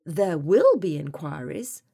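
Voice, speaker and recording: A frightened but defiant young captive woman, played by a woman narrator, speaks with a husky edge. She is indignant and breathless, pushing her demands out dramatically as in a romance audiobook. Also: mid-range voice, big pitch swings, moderate pace, a little mellow, pitched above the performer's own voice.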